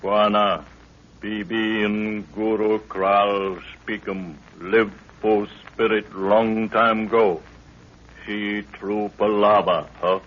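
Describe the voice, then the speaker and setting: A man's voice: on the low side, little pitch movement, slow. An actor playing a superstitious tribesman guide who speaks broken pidgin English in a 1930s radio adventure. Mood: awed. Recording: hissy muffled 1930s radio recording.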